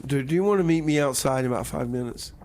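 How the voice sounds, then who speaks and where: A man co-hosting a comedy radio show, bantering with an excitable, stammering, mock-exasperated delivery. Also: medium pitch, fast, soft background music.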